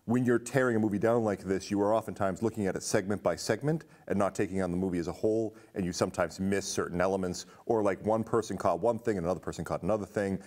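A man's voice: sits low, steady tone, quick pace, hardly any pauses, a little mellow. A middle-aged man discussing films casually in an explanatory, conversational way on a studio show. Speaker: film discussion show panelist. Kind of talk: casual film critique. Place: indoor studio with curtains, seated.

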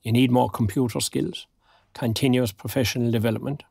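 Creaky-voiced older Irish retired man. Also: mid-range voice, steady tone, brisk, darkish tone, dry recording. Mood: wistful, resigned. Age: 60s. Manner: dry, wistful, understated. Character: lonely, wry, recently retired older man. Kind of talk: naturalistic audio drama acting.

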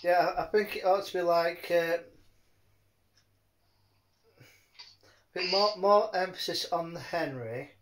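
A man chatting casually in a rambling, halting way, often repeating his words. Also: sits high, low-quality recording. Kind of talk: rambling casual phone chat.

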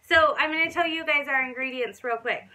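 A woman's voice: sits high, brisk, noisy background. A woman in her thirties with a North American accent, chatting through a recipe to camera in an upbeat, friendly way. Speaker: vlog host. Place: small wood-panelled living room.

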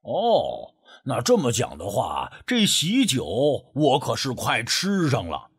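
A man's voice: medium pitch, wildly swooping pitch, slow pace, a bit bright, breathy edge, pitched below the performer's own voice, full character voice. Man putting on a sly, drawling mooching buddy. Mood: teasing, sly. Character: grinning sly male mooching friend, superstitious, teasing.

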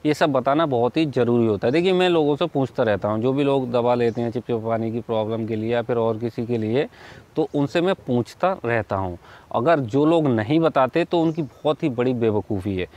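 Man, thirties, medium pitch, informal explainer, repetitive, instructive. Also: fairly quick, darkish tone. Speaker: herbal remedy seller and video host. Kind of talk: informal explanatory talk to camera. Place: outdoors in a wooded clearing.

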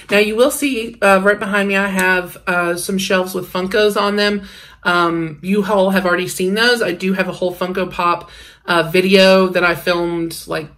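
Young adult American female vlogger, slightly low register, informal and chatty, mildly sniffly, handheld home recording. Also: fairly bright tone. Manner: chatty, informal, uses slang. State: sick with a sniffle. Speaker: book vlogger and channel host. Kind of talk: casual shelf-tour chat. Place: indoors in a home library room.

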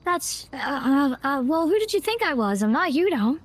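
High anime girl voiced by a man, cute, innocent, young, voice-changer effect, game roleplay, dry. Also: sing-song, normal speed, fairly bright tone, no echo, full character voice. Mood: coy, innocent. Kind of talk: playful in-character game roleplay.

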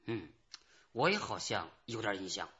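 Bright-voiced male security guard. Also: fairly low pitch, sing-song, moderate pace. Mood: recalling. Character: male security guard, colloquial, chiming-in witness. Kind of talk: Chinese radio drama acting. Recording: muffled band-limited radio drama audio.

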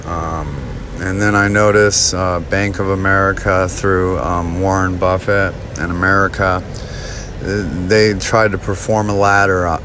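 A man talking casually to his viewers about a stock chart, halting with many ums and restarts. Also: deep voice, little pitch movement, background noise. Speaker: stock market video host. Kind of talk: casual talk over a chart screen share.

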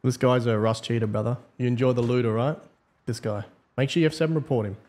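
A man's voice: medium pitch, steady tone, fast, darkish tone. A man giving casual, slangy, wry gameplay commentary. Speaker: host, game server admin.

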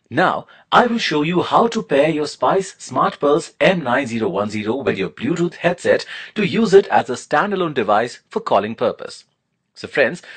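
A man's voice: mid-range voice, breathy edge. A man narrating a scripted product demonstration, explaining things step by step in an instructional manner.

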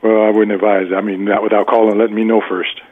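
A man's voice: fairly low pitch, little pitch movement, fast, breathy edge. A man in a casual phone conversation over a tinny line, speaking in a hesitant, polite and guarded way. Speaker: homeowner receiving a prank call. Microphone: telephone.

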